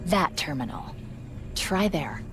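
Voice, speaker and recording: A woman's voice: lowish voice, lively intonation, slow, music in the background. A woman playing a brilliant, sassy, intense female AI in a cinematic video game, articulate and confident. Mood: focused.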